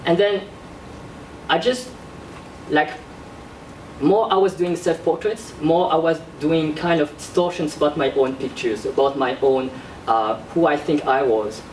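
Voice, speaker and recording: A young man, an artist born in Korea and raised in France, presenting his work in a classroom in a halting, casual way with many fillers. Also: fairly high pitch, far from the mic, a little hiss or hum. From born in South Korea, raised in France. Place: classroom with projector screen. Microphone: far camera at back of room. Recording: roomy echo from across the room.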